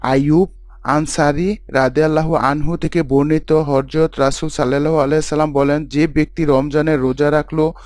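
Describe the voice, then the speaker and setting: A man's voice: on the high side, little pitch movement, normal speed, a little mellow, no room sound, natural voice. A man playing a devout imam who gives formal, instructive sermons in a cartoon story. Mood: solemn, instructive. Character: devout male imam teaching his congregation.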